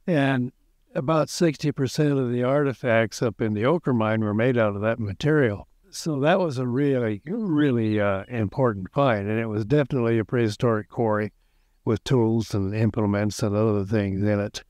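An older man with an American accent speaks haltingly in a documentary interview, pausing mid-sentence as an archaeologist explaining fieldwork. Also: medium pitch, a little mellow, dry recording, studio quality. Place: outdoors at a dig site. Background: music.

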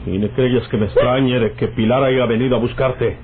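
An actor with a northern Mexican accent playing a folksy, domineering rural outlaw and smooth-talking lover in a radio drama. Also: male voice, mid-range voice, steady tone, normal speed, lightly acted. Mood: suspicious, stern. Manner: folksy rustic speech. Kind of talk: old-time radio drama acting. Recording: tinny, noisy old radio recording.

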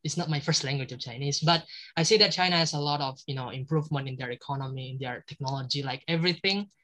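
A man's voice: mid-range voice, fast talker, sharp tone. Chatty young man hosting a video call. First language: Indonesian. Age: young adult. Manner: friendly, filler-heavy, laughs often. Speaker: student ambassador host. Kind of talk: casual video-call interview.

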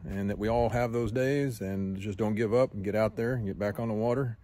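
A man's voice: on the low side, steady tone, a little fast, dark tone, slight background noise. Easygoing man vlogging outdoors by a boat. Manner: easygoing, self-mocking. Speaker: fishing vlogger. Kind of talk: casual vlog chat. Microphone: camera at arm's length.

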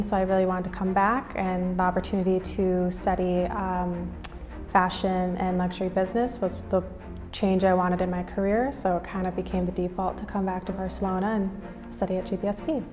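Young American woman, even-pitched, in reverberant room. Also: normal speed. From Minnesota, United States. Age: young adult. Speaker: business school student giving a testimonial. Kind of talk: interview testimonial. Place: indoors against a plain wall and banner. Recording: reverberant hall, music underneath. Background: background music.

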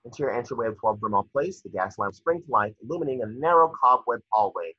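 Husky guy reading out script action lines, bright forceful voice, dull call audio. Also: medium pitch, lively intonation, fast talker, dry recording. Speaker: narrator. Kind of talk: scripted narration of screenplay stage directions. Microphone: video call.

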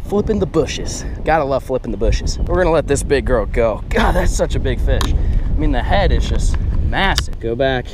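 A man's voice: mid-range voice, lively intonation, normal speed, background noise. A young man chatting casually in an exclamatory, slangy fishing vlog style, often repeating his phrases. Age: young adult. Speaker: angler vlogger. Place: outdoors on a bass boat on open water. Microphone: body-worn action camera.

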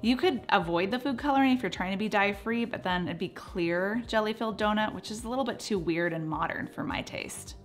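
A woman's voice: medium pitch, fairly quick, few pauses, faint music behind. Upbeat woman narrating a home recipe. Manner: upbeat, playful asides. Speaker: cooking channel host. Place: home kitchen, indoors.

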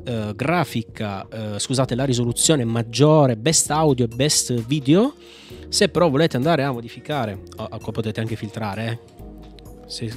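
A man from Italy hosting a casual software walkthrough, chatting informally and thinking aloud as he tries commands. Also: medium pitch. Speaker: tech tutorial host. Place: indoor room at a computer, screencast with small webcam.

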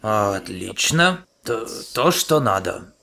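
Man voicing a slow, gruff, cocky soldier hero. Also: fairly low pitch, sing-song, sharp tone, full character voice. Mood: deadpan. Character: gruff, cocky, blundering middle-aged male soldier hero. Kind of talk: dubbed parody cartoon acting. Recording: music behind.

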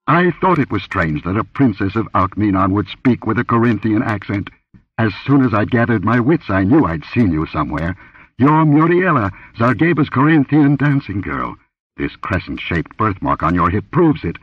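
A man voicing an angry, contemptuous barbarian warrior, barking and rumbling his words in a pulp adventure story. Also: big pitch swings, moderate pace, husky, no room sound, recorded from a distance. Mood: contemptuous triumph. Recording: dull lo-fi audio.

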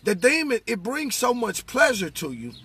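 High-pitched, raspy middle-aged American man. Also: moderate pace. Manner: animated, preacher-like, repeats phrases for emphasis. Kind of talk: impassioned casual testimony about faith. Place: inside a parked vehicle.

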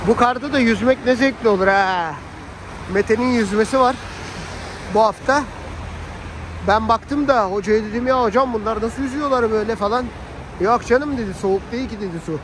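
A man's voice: extremely high pitch, brisk. A man chatting casually and slangily to viewers and family as he vlogs outdoors on a phone. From Turkey. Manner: colloquial, slangy, animated asides to family and viewers. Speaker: vlogger. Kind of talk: casual chatty vlog narration. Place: outdoors on a snowy city street. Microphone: handheld phone. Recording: noisy outdoor street with traffic.

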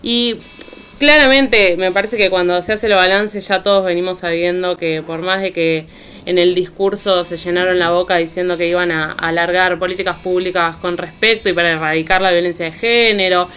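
A woman's voice: fairly low pitch, steady tone, moderate pace, a bit bright, clean tone. An Argentine woman giving informal political commentary as a columnist on a radio show. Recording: music bed under the voice. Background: music.

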